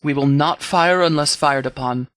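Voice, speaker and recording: A man's voice: fairly high pitch, moderate pace, fairly bright tone, no room sound, natural voice. A man playing a stern, blunt, battle-weary military leader in a video game, with a firm, clipped, authoritative delivery. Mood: firm, commanding. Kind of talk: video game dialogue acting.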